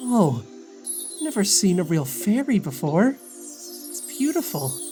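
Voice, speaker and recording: Young boy traveller, gentle and wide-eyed, animated intonation, for audio drama female actor. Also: mid-range voice, takes it slowly, soft background music. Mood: awed.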